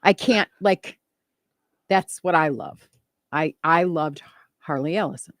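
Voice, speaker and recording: A radio co-host in casual on-air chat, talking back and forth quickly with many interjections. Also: medium pitch, fairly bright tone, no echo, clean studio sound.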